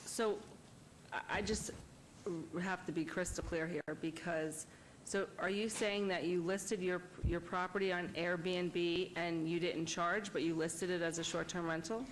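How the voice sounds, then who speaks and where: A woman's voice: on the low side, fairly even intonation, measured pace, slight background noise, poor audio. A woman council member speaking bluntly and emphatically, lecturing an applicant at a public hearing. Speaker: city councilwoman. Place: city council chamber.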